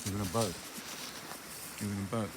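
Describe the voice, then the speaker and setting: Older guy w/ lowish voice just rambling on a walkaround outside, plain country talk, really noisy audio. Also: steady tone, normal speed. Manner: plain-spoken, folksy. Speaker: vlogger showing around own farm. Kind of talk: casual rambling walkaround commentary. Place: outdoors on a rural farm. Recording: noisy outdoor recording with loud ambient noise.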